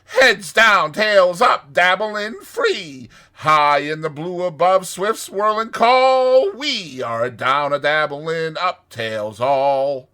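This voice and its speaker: A man's voice: a little high, sing-song, bright, forceful tone, smooth, pitched above the performer's own voice, full character voice. A man voicing a cheerful, kindly water rat in a dramatized storybook, with hearty, emphatic delivery. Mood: jaunty singsong. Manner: hearty, exclamatory, drawn-out emphatic words.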